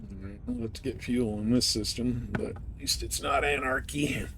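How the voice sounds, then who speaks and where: A man streams a space flight game with an average-pitched voice and an easygoing, exclamatory way of talking. He chats casually to viewers, with clear game noise humming behind him. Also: very animated, moderate pace. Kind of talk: casual gameplay commentary, chatting to viewers. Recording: clear background noise, game engine rumble. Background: spaceship game sounds.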